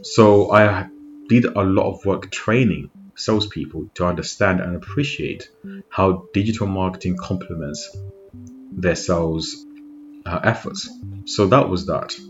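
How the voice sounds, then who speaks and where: A man from Zimbabwe hosting a podcast, talking in a relaxed, reflective way. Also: sits low, measured pace, faint music behind. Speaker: podcast host. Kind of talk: casual talk. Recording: dull lo-fi sound with faint noise.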